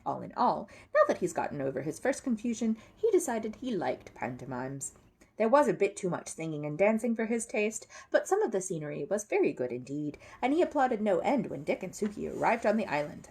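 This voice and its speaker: Lively woman reading a children's story. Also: low-pitched, gravelly, slightly rough. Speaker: storybook reader. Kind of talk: animated read-aloud narration of a children's story.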